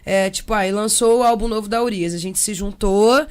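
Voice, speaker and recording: A young Brazilian woman speaking casually and reflectively as an interview guest, expressive and slangy, slipping into imagined quotes. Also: medium pitch, fairly quick, clear, resonant tone. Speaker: interview guest, percussionist and samba singer.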